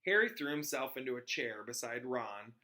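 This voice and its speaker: Very bright, animated male storybook reader. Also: mid-range voice, moderate pace. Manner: explains hard words in simpler terms. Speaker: teacher reading aloud. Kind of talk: expressive children's book narration.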